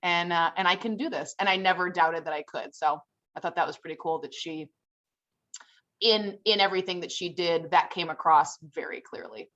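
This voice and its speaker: A woman from Illinois, a teacher on a panel, telling a story in a heartfelt, animated way. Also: lowish voice, normal speed, muffled recording. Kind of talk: casual panel discussion.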